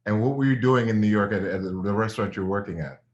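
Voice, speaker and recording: A man's voice: lowish voice, quick pace, echoey room, highs cut off. A man hosting an interview, enthusiastic, cutting in with eager follow-up questions. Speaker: host.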